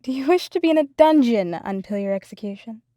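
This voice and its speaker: A woman's voice: on the high side, lively intonation, brisk, mellow, no room sound, clean studio sound, lightly acted. A woman playing a sly, taunting enchantress villain in a fan audio drama, mocking and theatrical. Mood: coolly persuasive.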